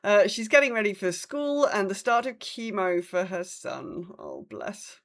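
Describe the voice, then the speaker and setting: A woman co-host shares community news in a casual podcast style. She sounds sympathetic, and her voice sits at an ordinary middle pitch for a woman. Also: big pitch swings, measured pace, fairly bright tone. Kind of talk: casual podcast chat, reading out community updates.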